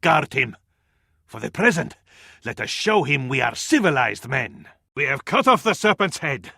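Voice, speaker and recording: An actor with an Arabic accent playing a fervent, scornful medieval advisor to a sultan in a video game, in a florid, declamatory style. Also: male voice, medium pitch, very animated, normal speed, gravelly, pushed and bright, husky, no echo, studio quality, exaggerated voice. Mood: scornful, grave. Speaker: battle advisor in a strategy game. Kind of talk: theatrical video game voice acting.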